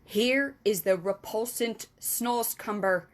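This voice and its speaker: A woman voicing a kindly, very old male giant in a storybook reading, booming and drawn out, mangling his words and grammar. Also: expressive, measured pace. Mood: grand, disgusted.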